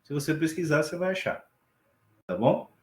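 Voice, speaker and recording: Man, teacher on a video call, relaxed and checks in with students, echoey room. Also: on the high side, moderate pace. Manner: relaxed explaining with frequent check-ins to students. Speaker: sign language teacher. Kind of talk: online class lecture.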